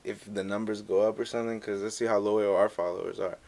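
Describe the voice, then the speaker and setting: A man's voice: on the low side, fairly even intonation, fast, darkish tone. A young man from El Salvador co-hosting a casual podcast, easygoing and jokey. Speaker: podcast co-host. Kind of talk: casual chat. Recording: faint hiss.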